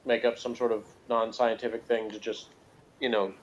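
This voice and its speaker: A man's voice: fairly low pitch, flat delivery, normal speed, highs cut off. Casual guy in rambling review chat. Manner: rambling, jokey, pop-culture asides. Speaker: podcast reviewer.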